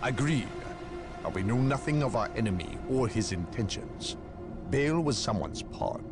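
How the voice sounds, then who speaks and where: A suspicious inquisitor, voiced by a man, talks in a mid-pitched, measured voice, weighing each formal word. Game music runs clearly underneath. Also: animated, lightly acted. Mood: wary. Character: suspicious male inquisitor, grave and deliberate. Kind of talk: video game cutscene acting.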